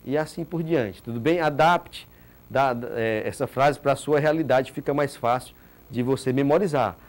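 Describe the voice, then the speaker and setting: A man's voice: medium pitch, normal speed. A man in his thirties from northeastern Brazil, giving a recorded exam-prep lecture in a studio and answering his own rhetorical questions. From Ceará, Brazil. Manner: animated, asks rhetorical questions and repeats key points. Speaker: teacher. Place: green studio set.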